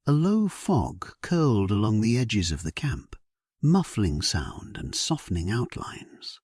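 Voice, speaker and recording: Man voicing a deep, husky, solemn warrior king. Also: a little mellow, no room sound, clean studio sound, heavily acted. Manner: solemn, measured, dramatic delivery. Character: grave, resolute English king at war, narrating his memoir. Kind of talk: scripted first-person sleep-story narration.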